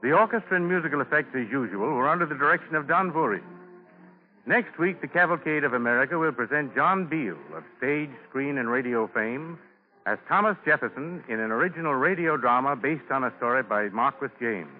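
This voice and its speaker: A man hosts with formal, scripted 1940s radio announcing. His voice sits at a medium pitch. The old broadcast is narrow and tinny. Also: lively intonation, a little fast, soft background music.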